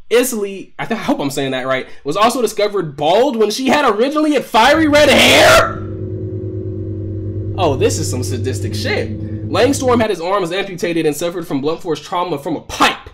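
Animated young man reacting, noisy audio. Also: sits high. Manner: profane, slangy, animated, exclaiming. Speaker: reaction video creator. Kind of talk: casual reaction commentary, reading on-screen text aloud. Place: small room at home.

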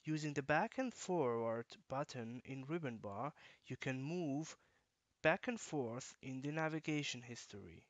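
A man with a mid-range voice reads a software walkthrough in an even, instructional way. The recording is dry and close, with no room sound. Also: medium pitch, dry recording, muffled recording. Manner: measured, instructional. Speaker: software tutorial narrator. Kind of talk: scripted screencast narration.

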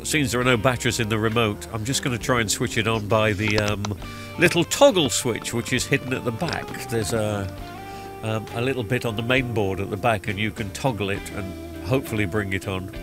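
A man's voice: lowish voice, brisk. Congested man with music behind. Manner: chatty, rambling with many ums and uhs. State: blocked-up nose from a cold. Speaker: TV repair vlogger. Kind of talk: casual voiceover commentary. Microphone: studio microphone recorded in post-production. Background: background music.

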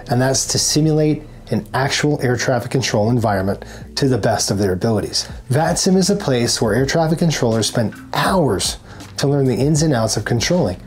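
A man's voice: medium pitch, darkish tone. A man in his forties giving scripted, instructional narration to camera as a tutorial presenter. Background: background music.

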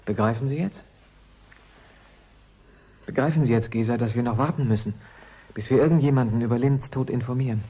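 Male radio-play role for an actor: urgent scientist's assistant, husky, mellow-toned, earnestly persuasive. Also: mid-range voice, brisk, pauses often, lightly acted. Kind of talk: German radio play acting.

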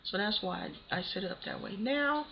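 A hoarse voice with a cold, casually talking through a software tutorial step by step. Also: medium pitch, lively intonation, a little fast. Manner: casual, talks through steps one by one. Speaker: tutorial host. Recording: dull lo-fi voice-over with faint hiss.

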